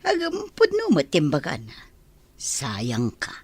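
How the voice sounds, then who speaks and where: A woman playing a gossipy, grumbling older housemaid with a husky voice in an Ilocano radio comedy drama. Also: low voice, huge pitch swings, slow, dry recording, heavily acted. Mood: teasing, grumbling.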